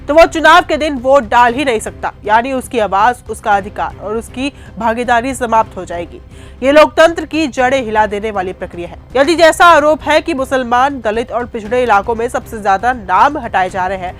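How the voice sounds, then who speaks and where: A woman delivering scripted political news narration as a voice-over. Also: fairly high pitch.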